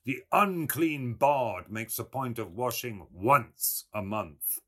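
A man's voice: mid-range voice, expressive, slow pace, bright, forceful tone, voice pushed up, full character voice. A man voicing a boisterous, mocking young Irish wit in a storybook, with mock-grand declamation.

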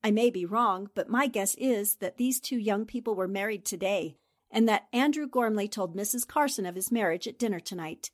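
An actress playing a sharp-witted newspaper reporter who lays out her deductions in a poised, precise way. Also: medium pitch, moderate pace, close to an everyday voice. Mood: confident. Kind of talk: amateur audio drama acting.

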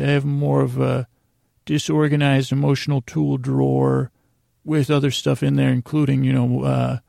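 Very creaky, rambling man. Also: medium pitch, mellow, no echo, studio quality. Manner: meandering, halting, trailing off mid-sentence; self-deprecating, confessional. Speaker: podcast host. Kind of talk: rambling personal storytelling monologue.

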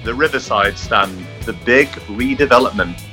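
A man acting out a scripted comic phone-call advert, pitching a product excitedly. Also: moderate pace, soft background music.

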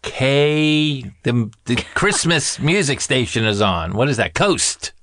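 Animated, teasing man on a podcast. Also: medium pitch, slow, no echo, clean studio sound. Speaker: podcast co-host. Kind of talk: casual chat.

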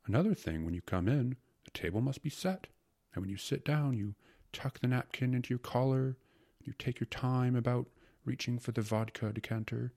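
A man voicing a gluttonous, syrupy court secretary in a storybook reading, breathy and savoring every word as he wheedles and repeats himself. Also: mid-range voice, moderate pace, dark tone, no echo, clean studio sound, pitched above the performer's own voice, close to an everyday voice. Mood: rapturous, savoring. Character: gluttonous, syrupy male court secretary rhapsodizing about food.

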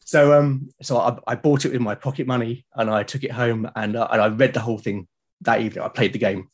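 A man's voice: mid-range voice, steady tone, a little fast, breathy edge. A man in his forties with a British accent, chatting casually as an interview guest, reflective and enthusiastic. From United Kingdom. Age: 40s. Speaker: podcast guest, illustrator.

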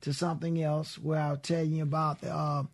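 A middle-aged man from with a raspy voice, telling stories in a relaxed radio interview. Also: on the high side. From Mississippi. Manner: relaxed storytelling with Southern grammar and drawn-out pauses. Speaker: guest blues musician.

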